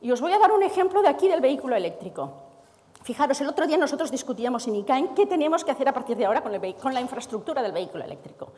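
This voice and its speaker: A middle-aged woman giving an animated conference talk as a speaker from the energy administration, moving and gesturing on stage before an audience. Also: high-pitched, rapid-fire. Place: large hall with audience. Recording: reverberant hall.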